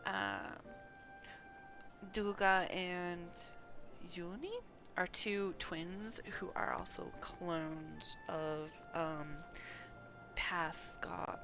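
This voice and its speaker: A woman casually commentates her game stream at an unhurried pace. Her voice sits at a medium pitch with a creaky edge, and music plays behind her. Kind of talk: casual live gameplay commentary.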